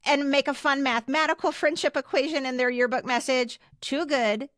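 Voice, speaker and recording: A woman's voice: sits high, fairly even intonation, fairly quick, fairly bright tone, no echo. Woman, 50s, podcast co-host, raised register, casual chat, very dry recording. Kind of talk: casual conversational podcast talk.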